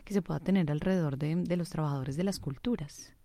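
A woman hosting a radio news show, presenting items and interviewing guests in a clear, formal announcer manner. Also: sits low, fast talker, warm tone.

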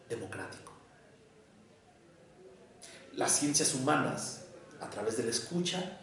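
A man with a Mexican accent giving a prepared lecture in a deliberate, didactic way, with emphatic pauses. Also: medium pitch, vocal fry. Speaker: lecturer on psychoanalysis. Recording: echoey room, distant microphone.

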